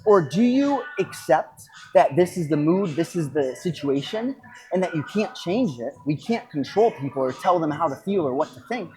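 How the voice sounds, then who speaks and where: A podcast host and coach giving a casual motivational talk, speaking reflectively and emphatically, with pauses and repeated phrases. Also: medium pitch, lively intonation, dark tone, soft background music, low-quality recording.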